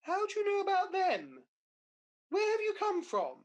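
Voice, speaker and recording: A woman's voice: very high voice, wildly swooping pitch, moderate pace, heavily acted. A woman playing a cheeky, scatterbrained Mother Goose in a radio pantomime, exclamatory and comic. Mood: bemused, earnest. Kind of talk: pantomime radio play acting.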